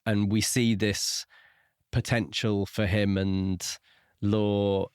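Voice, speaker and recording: A man's voice: fairly low pitch, little pitch movement, takes it slowly, a little mellow, smooth, no room sound, studio quality. A man hosting a podcast, casually talking over a book and thinking aloud as he offers hedged opinions. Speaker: podcast host. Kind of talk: casual book review discussion.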